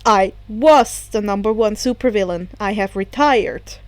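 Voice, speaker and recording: A woman voicing a calm, formal middle-sized role of a retired supervillain father in a story reading. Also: mid-range voice, measured pace, no echo, pitched below the performer's own voice, natural voice. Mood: matter-of-fact. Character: retired supervillain father, adult man, calm and matter-of-fact.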